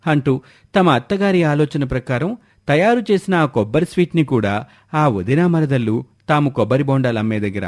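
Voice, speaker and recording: A man's voice: a little high, a little mellow. A man reading scripted story narration as a storyteller.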